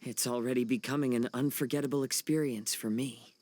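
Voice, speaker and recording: A man voicing a poetic, observant wanderer in a video game, musing quietly in literary phrases. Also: medium pitch, steady tone, brisk, no room sound, natural voice. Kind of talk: video game cutscene acting.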